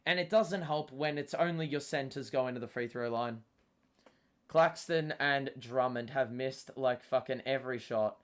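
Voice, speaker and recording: A young man hosting a live basketball watchalong, swearing often and yelling at big plays, his voice going hoarse and cracking. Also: mid-range voice, moderate pace. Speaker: host.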